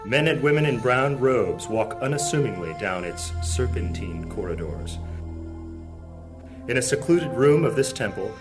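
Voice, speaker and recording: A man narrating a high fantasy audio drama as a solemn, measured storyteller. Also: animated, over music, close to an everyday voice.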